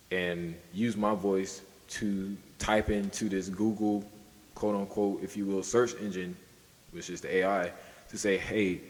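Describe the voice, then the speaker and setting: A man co-hosting a podcast in casual discussion, halting and circling back over his points. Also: deep voice, big-room sound. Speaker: podcast co-host.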